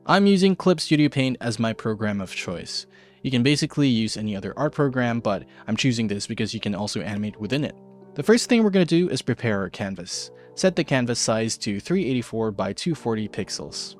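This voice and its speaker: Faint music plays under a man presenting an art tutorial. His mid-pitched voice follows a loose script, and he keeps the delivery casual, slipping in playful, tongue-in-cheek remarks. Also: big pitch swings, fairly quick. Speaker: video show host, art tutorial presenter.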